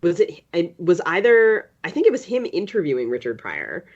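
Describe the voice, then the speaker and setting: A woman's voice: lowish voice, huge pitch swings, moderate pace, a little mellow. Reflective woman on a dull lo-fi recording. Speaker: guest writer. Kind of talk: casual conversational podcast interview.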